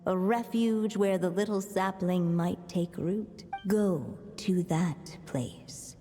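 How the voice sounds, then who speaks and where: A woman playing a mysterious, stately storybook narrator in a video game, measured and poetic. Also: lowish voice, dark tone. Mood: mysterious, solemn. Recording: long cavernous reverb. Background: faint music.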